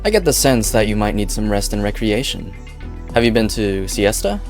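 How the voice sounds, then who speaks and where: A man playing a smooth-talking, scheming corporate businessman with a theatrical, coaxing manner in an amateur game dub. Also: fairly low pitch, sing-song, moderate pace. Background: background music.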